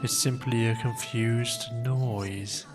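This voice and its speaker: A storybook narrator voicing a gloomy, grumbling old donkey who complains slowly and with resignation. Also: mid-range voice, loud music behind the voice, close to an everyday voice. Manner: slow, flat, resigned complaining.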